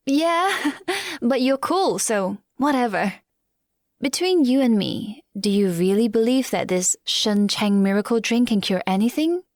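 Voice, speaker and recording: A friendly, inquisitive young dancer voiced by an actress in an audio drama. She talks casually in a fairly high voice, with a dry, roomless sound. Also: expressive, measured pace, no echo. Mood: curious.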